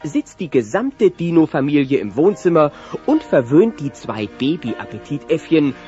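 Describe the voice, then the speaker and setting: Animated male storyteller over music. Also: on the high side, moderate pace. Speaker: narrator. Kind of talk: scripted storytelling narration for children. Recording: dull lo-fi cassette transfer.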